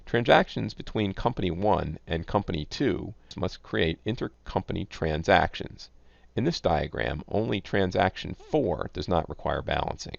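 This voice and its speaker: A man giving scripted, instructional narration as a software tutorial presenter, reading in a measured, enunciated way. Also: low voice, animated, vocal fry, no echo. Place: close, dry voice-over recording. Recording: dull, lo-fi close voice-over.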